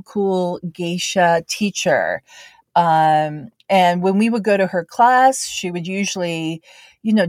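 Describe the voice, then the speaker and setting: Woman musing about her life, slow and unhurried, lots of um and you know, lowish voice. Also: darkish tone, no room sound, clean studio sound. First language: English. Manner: reflective, meandering, many fillers and restarts. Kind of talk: casual personal storytelling.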